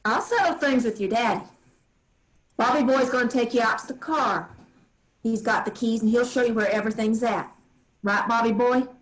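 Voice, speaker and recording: A woman acts as an old farm grandmother in a staged play reading. Her voice sits fairly high, and she talks in plain country dialect, handing out firm, kindly orders with love underneath. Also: lightly acted, poor audio. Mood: brisk, firm. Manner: plain rural dialect, brisk kindly commands.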